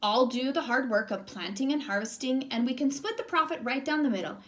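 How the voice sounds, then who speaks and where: A woman's voice: high-pitched, brisk, fairly bright tone. A woman voicing a clever, eager male hare with a persuasive, salesman-like manner in a children's storybook. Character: clever, eager male hare, smooth-talking wheeler-dealer. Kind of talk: children's storybook read-aloud.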